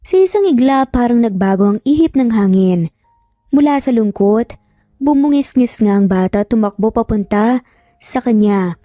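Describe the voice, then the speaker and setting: A woman narrates a dramatic story in a fairly high voice with a mellow tone. The recording is dry and close, with music running underneath. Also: animated, moderate pace, no room sound.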